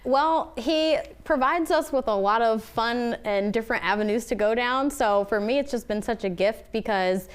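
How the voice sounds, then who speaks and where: Woman guest in an interview, smooth clear voice a bit high, casual animated storytelling. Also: normal speed, hardly any pauses. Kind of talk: casual conversational interview answers. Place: TV news studio.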